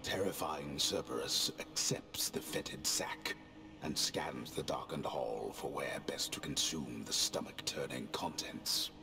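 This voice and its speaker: A portentous mythic narrator for a video game. The voice is extremely deep and creaky, hushed and gravelly, with audible echo around it. Every phrase comes slowly and solemnly. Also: male voice, heavily acted. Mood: solemn, ominous. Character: grave, ominous storyteller narrating a myth. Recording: reverberant, echoing voice.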